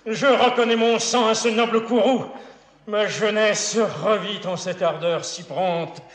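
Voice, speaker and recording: A man's voice: medium pitch, unhurried, close to an everyday voice. An actor playing a proud, insulted old nobleman in a classical French verse tragedy, declaiming grandly and emphatically. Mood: impassioned, vengeful. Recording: echoey hall with long reverb.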